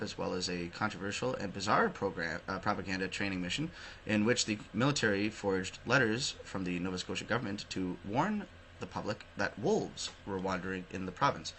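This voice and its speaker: A man's voice: fairly low pitch, pushed and bright, slight background noise, low-quality recording. A man with a Canadian accent giving opinionated news commentary, emphatic, sardonic and prone to swearing. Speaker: online commentator hosting his own show. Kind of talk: opinionated news commentary, partly reading articles aloud.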